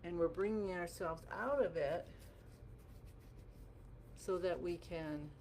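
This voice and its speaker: A woman with a gravelly voice guiding a qigong class through movement and meditation in a gentle, encouraging, informal way. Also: lowish voice, takes it slowly. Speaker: qigong teacher. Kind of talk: guided movement and meditation instruction. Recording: clearly noisy background.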